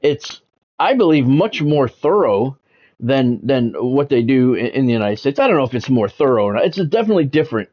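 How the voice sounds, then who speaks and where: A man hosting a game livestream, talking sports to his viewers in measured, announcer-like phrases. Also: medium pitch, sing-song, muffled recording. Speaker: game streamer and sports commentator, former radio play-by-play announcer. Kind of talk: livestream monologue, sports talk to chat viewers.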